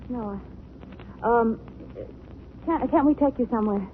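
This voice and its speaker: An actress playing a breezy, easily alarmed young companion of a crime fighter, in 1940s radio drama style. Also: female voice, on the high side, unhurried, close to an everyday voice. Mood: alarmed, concerned. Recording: noisy, narrow-band 1940s radio recording.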